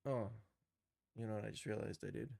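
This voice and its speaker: A man's voice: lowish voice, fairly quick, creaky, dark tone, no echo, clean studio sound. A man giving casual commentary as he works through an animation tutorial on a livestream, muttering to himself as he goes. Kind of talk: casual screen-work commentary, thinking aloud.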